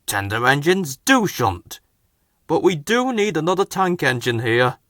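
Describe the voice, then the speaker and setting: Guy doing a bossy railway chief, loud and clipped, pitch swooping up and down, dry close sound. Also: big pitch swings, measured pace, dry recording. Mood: kindly. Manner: firm, clipped, commanding. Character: stout stern railway boss, brisk and kindly. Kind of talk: storybook read-aloud.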